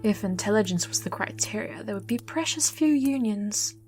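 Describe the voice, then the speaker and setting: An actress playing a haughty, wry otherworldly wife who judges souls, arch and formal in an audio drama. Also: female voice, medium pitch, animated, moderate pace, a little mellow, faint music behind, lightly acted. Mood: wry disdain.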